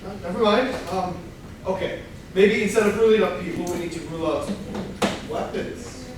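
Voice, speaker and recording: A man playing an earnest, halting, pedantic amateur detective in a staged comedy reading. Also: high voice, lightly acted. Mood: earnest, fussy. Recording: very echoey room, distant mic, clear noise.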